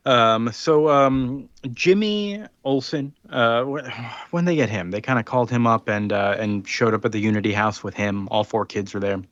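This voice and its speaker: A man's voice: medium pitch, moderate pace, hardly any pauses, dry recording, dull audio. A man casually retelling a comic's plot on a podcast, rambling with lots of fillers. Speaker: podcast host recapping a comic. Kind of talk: casual chat.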